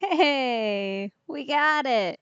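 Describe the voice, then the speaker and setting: Unhurried woman streamer with dull sound. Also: high-pitched, lively intonation, fairly bright tone, clear, resonant tone, dry recording, faint music behind. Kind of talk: casual let's play commentary.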